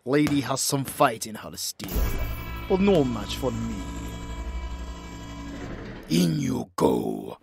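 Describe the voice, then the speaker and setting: A man playing a rough, threatening security guard in a horror audio roleplay, with a gloating manner and gravelly voice. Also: mid-range voice, huge pitch swings, slow pace, pauses often, full character voice. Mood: menacing, gloating. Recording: music under the voice.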